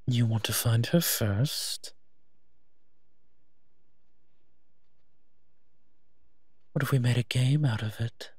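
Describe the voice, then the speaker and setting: A man playing a wry, teasing monster who partners a human detective in a roleplay audio drama, speaking with hums and pauses. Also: medium pitch, husky, dry recording, studio quality. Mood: playful, teasing.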